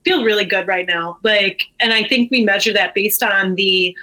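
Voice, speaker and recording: A woman's voice: mid-range voice, normal speed, sharp tone, poor audio. A woman talking candidly and informally as a guest in a casual interview. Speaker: guest, brewery co-owner.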